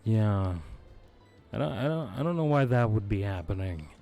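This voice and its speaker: A man streaming a video game, hoarse from losing his voice, chatting in a laid-back, rambling way with frequent chuckles, close on his microphone. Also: on the low side, expressive, normal speed, phone-quality sound, soft background music. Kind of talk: casual live-stream chat. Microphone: close desk microphone.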